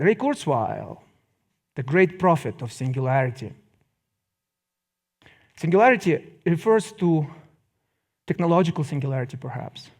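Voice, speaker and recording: A middle-aged man with a Russian accent gives a public lecture, phrasing deliberately with pauses and wry asides. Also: a little high, darkish tone.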